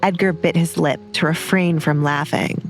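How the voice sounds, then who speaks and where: A woman's voice: low voice, fairly even intonation, normal speed, soft background music. A woman narrator reading scripted romance fiction as a storyteller.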